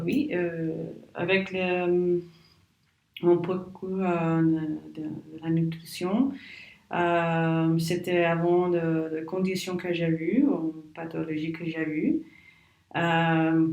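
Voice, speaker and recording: A woman's voice: on the low side, darkish tone, roomy sound, distant mic, lo-fi sound. A woman with an English-speaking Canadian accent, a native English speaker, answering interview questions hesitantly as she searches for words in a second language. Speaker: guest, naturopath. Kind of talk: podcast interview.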